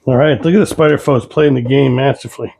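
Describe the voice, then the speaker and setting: A man narrates his tabletop game turn in a casual way, calling out moves and dice results. His voice is fading and hoarse, with a gravelly rasp and a dark, mellow tone. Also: medium pitch, fairly even intonation, quick pace, a little hiss or hum. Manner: calls out moves and dice rolls plainly. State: losing his voice. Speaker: host.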